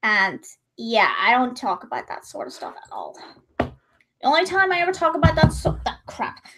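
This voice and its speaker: A woman's voice: high voice, sing-song, moderate pace, very noisy. A young woman chatting casually while drawing on a livestream, rambling and correcting herself, her voice a little strained. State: voice gone high and strained.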